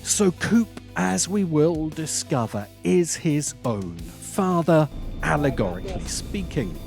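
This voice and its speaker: A man narrates a scripted film essay at a slow pace. His pitch moves widely as he leans on key words and leaves dramatic pauses. Faint music plays behind his voice. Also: sing-song, vocal fry. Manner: deliberate, dramatic pauses and emphasis. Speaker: host of a film commentary channel.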